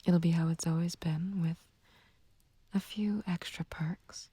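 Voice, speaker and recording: A woman playing a flirtatious, taunting villain in an ASMR roleplay, speaking softly and breathily in a teasing, coaxing, languid way. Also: lowish voice, fairly even intonation, measured pace, dry recording. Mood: intimate, playful. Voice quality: breathy, whispery, soft. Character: flirtatious, taunting female villain sweet on a captive friend. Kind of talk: ASMR roleplay, intimate scripted acting.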